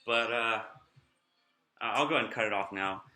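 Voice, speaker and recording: Young man, nasal, slightly slurred, rambling vlog chatter, very bright voice. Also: lowish voice, normal speed, echoey room. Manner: slurring his words. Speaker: vlogger.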